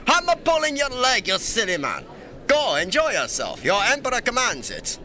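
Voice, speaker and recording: Male actor as irreverent young emperor, bright lively voice, theatrical teasing, fantasy audio drama. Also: very high voice, moderate pace, slight background noise, full character voice. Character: young playful irreverent male emperor, secretly informal and mischievous.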